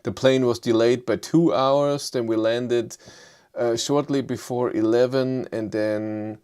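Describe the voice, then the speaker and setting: A man with a thick German accent hosting a casual live chat, low-key and hesitant, with many fillers as he answers viewers. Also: steady tone, takes it slowly, darkish tone, clear, resonant tone. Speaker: livestream host.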